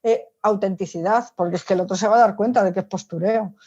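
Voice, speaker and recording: A woman's voice: mid-range voice, fast talker, a little mellow, husky. A woman psychologist answering as an interview guest, talking informally and emphatically, often with rhetorical questions. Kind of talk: conversational interview, explaining opinions. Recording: dull lo-fi audio.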